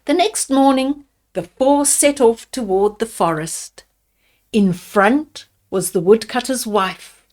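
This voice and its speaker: An older woman reading a bedtime fairy tale aloud to young children, deliberately, with explanatory asides about the pictures. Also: mid-range voice, darkish tone.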